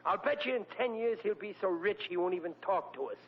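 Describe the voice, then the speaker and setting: A brash proud working-class dad. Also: male voice, high-pitched, expressive, a little fast, a little hiss or hum. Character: boastful proud working-class father, middle-aged man. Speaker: radio sitcom actor. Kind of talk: 1940s radio acting. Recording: dull lo-fi old radio recording.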